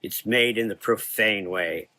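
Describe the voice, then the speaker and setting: A man playing a weary, learned and brooding occult hunter, speaking in a measured, formal, ominous way in a gothic horror audio drama. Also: on the low side, sharp tone.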